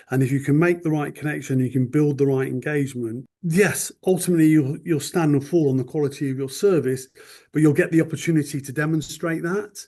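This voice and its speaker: A man speaking as a guest on a podcast, thoughtful and measured, leaning on "you know" as he talks. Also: mid-range voice, darkish tone. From United Kingdom. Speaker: magazine owner, podcast guest. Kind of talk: casual interview chat.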